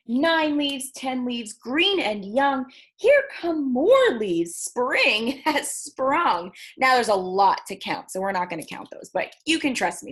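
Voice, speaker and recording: High-voiced, unhurried woman leading children's storytime. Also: a bit bright. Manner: singsong, encouraging, addressing young children. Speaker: children's library storytime host. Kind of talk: children's storytime with read-aloud and counting.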